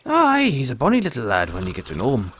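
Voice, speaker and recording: A man playing a gruff but friendly forest dragon tamer, talking in a folksy way straight to the listener in an audio roleplay. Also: medium pitch, wildly swooping pitch, fast, fairly bright tone, a little hiss or hum. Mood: friendly, explaining. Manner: folksy, teasing, calls the listener lad.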